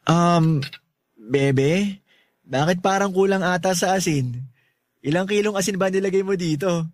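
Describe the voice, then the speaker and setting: A man's voice: high-pitched, a little slow, no echo, studio quality. A man playing an affectionate young husband and reformed street idler in a casual, colloquial radio drama style. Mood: affectionate, teasing. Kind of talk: Tagalog radio drama acting.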